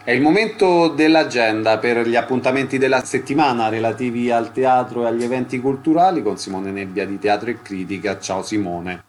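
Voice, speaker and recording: A man hosts a radio programme with a medium-pitched voice. He delivers scripted links and introductions in an announcer's style. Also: brisk, few pauses, soft background music. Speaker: radio host.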